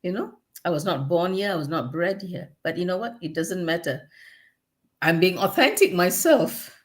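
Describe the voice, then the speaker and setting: A woman with a Malaysian English accent, pitched fairly low, whose voice swings up and down a lot as she tells stories. She laughs often and chats warmly as a guest on a podcast. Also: normal speed. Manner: laughs often, lively storytelling. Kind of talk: casual chat.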